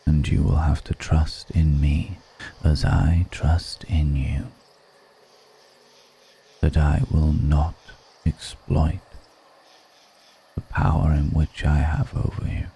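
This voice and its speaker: Vampire lord for ASMR roleplay, male actor: extremely low, dark and gravelly, seductive, solemn, hushed delivery. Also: level tone, a little hiss or hum, full character voice. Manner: slow, deliberate, hushed, solemn.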